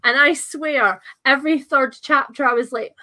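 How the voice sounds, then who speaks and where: High-pitched, bright, chatty woman host. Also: slow pace. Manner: enthusiastic, chatty, animated reactions. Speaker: host of a book channel livestream. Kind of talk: casual live interview chat.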